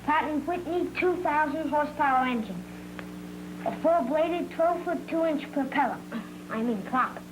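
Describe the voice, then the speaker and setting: A man's voice: extremely high pitch, moderate pace. A young male actor playing an eager, know-it-all boy who loves airplanes, in the earnest style of a 1940s training film. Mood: eager, proud. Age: child. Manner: earnest, matter-of-fact recital of technical facts. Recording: noisy, humming 1940s film soundtrack.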